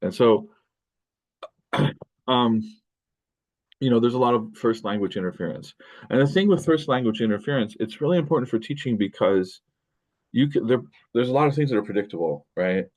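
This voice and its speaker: A man's voice: mid-range voice, moderate pace, pauses often, darkish tone, poor audio. A middle-aged American man giving a recorded college linguistics lecture, talking loosely with many fillers and restarts. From United States. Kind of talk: recorded lecture over screen share. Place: indoor room at a desk.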